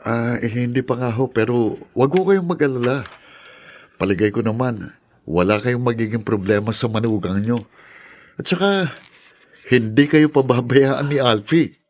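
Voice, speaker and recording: A man's voice: mid-range voice, moderate pace, pauses often, a little mellow, lightly acted. A man playing a polite, helpful young friend in a radio drama, respectful and reassuring. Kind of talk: Tagalog radio drama acting.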